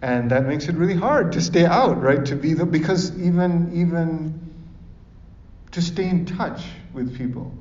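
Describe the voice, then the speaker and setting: A man from Sri Lanka speaks informally to a room, with a mellow, darker voice. He keeps it conversational and often checks that listeners agree. The recording is roomy and a little muffled. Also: a little high, lively intonation, a little slow. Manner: conversational, often seeks agreement with tag questions. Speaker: speaker giving advice to parents at a talk. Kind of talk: informal public talk with audience questions. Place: echoey room in front of an audience. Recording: roomy, dull, distant microphone recording.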